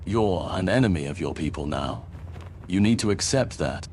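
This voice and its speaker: A man's voice: low voice, animated, moderate pace, a little hiss or hum. A man playing a kindly, righteous paladin in a video game, speaking with firm reassurance. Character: calm, kindly, righteous male paladin hero. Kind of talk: video game dialogue acting. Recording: loud music behind the voice. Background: loud music.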